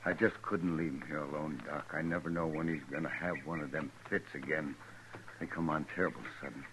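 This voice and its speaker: A man's voice: rumbling low, fairly even intonation, fairly quick. An actor playing a worried, plain-spoken rancher father in a 1950s radio western, rustic and anxious. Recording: noisy old 1950s radio recording.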